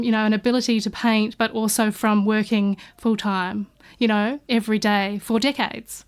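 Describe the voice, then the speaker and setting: A woman's voice: on the high side, smooth. A woman from New Zealand in casual podcast chat, telling stories with frequent fillers and restarts. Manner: chatty, warm anecdotes with many fillers and restarts. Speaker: guest artist studio partner. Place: studio.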